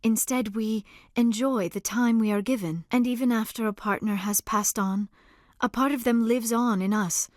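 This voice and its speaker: An actress playing a gentle, earnest alien woman in a video game, speaking carefully and formally with a soft, hesitant manner. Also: a little high, moderate pace, dry recording, studio quality, close to an everyday voice. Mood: reverent, earnest. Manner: careful, formal diction with few contractions. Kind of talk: video game dialogue acting.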